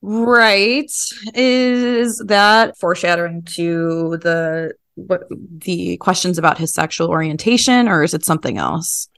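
A woman with a slightly low voice hosts an interview podcast. She puts her questions informally, as in everyday conversation. The sound is very dry, with no room echo. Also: measured pace, hardly any pauses, fairly bright tone. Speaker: podcast host interviewing journalists. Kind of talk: casual interview.

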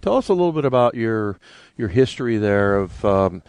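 A man's voice: lowish voice, big pitch swings, moderate pace, a little mellow, clear, resonant tone, no echo. Guy hosting a radio show, joking around with the guest, dry close studio mic. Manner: chummy, joking. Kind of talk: casual interview chat.

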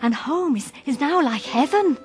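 Gushing, high-voiced young sister. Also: female voice, normal speed. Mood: eager. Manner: gushing, breathless excitement. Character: excitable, loving young sister, girlish and tender. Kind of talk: theatrical radio drama acting. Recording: faint music behind the voice.

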